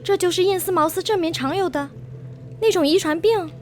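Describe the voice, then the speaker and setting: A woman's voice: very high-pitched, a little fast. A woman playing a polite, curious interviewer in a radio drama, asking formal questions in Mandarin. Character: polite, curious female interviewer, astonished by strange tale.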